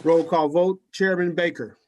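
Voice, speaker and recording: A man's voice: a little high, fairly even intonation, normal speed. A man speaking as a clerk in a virtual public meeting, formally announcing agenda items and roll calls in a procedural way.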